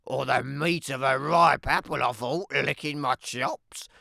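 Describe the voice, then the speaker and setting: A woman voicing a sly, hungry, wry male wolf who tells his own tale in an animated children's read-aloud, with dramatic emphasis and playful asides. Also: rumbling low, a little slow, creaky, dry recording, studio quality, exaggerated voice.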